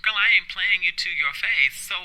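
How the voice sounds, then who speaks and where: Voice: mid-range voice, normal speed, loud music behind the voice, close to an everyday voice. A performer with an American accent playing a nosy, teasing, loyal best girlfriend on a phone call in an audio drama, sassy and slangy. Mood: teasing, excited.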